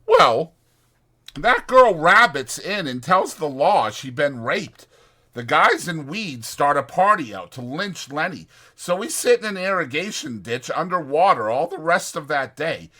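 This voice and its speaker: A man voicing an exasperated but protective ranch hand in a dramatized audiobook, blunt and scolding, with a rough folksy drawl. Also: fairly high pitch, very animated, a little slow, bright, forceful tone, voice pushed up, exaggerated voice. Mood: agitated storytelling. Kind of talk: dramatized audiobook character acting.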